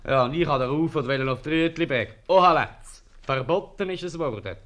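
An actor playing a mocking, complaining tailor in a radio comedy, jocular and teasing, with a Swiss accent. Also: male voice, fairly high pitch, brisk, a bit bright, natural voice. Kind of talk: 1950s radio comedy acting.

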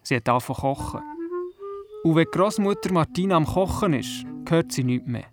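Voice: mid-range voice, expressive, brisk, music in the background, close to an everyday voice. A performer playing a curious, doubting young boy in a Swiss German radio play, asking questions and narrating. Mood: narrating fondly. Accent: Swiss German, Glarus area. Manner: inquisitive, skeptical.

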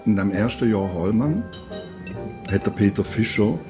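A man's voice: fairly low pitch, animated, moderate pace, over music. An older man with a Swiss German accent, interviewing and reminiscing in casual conversation.

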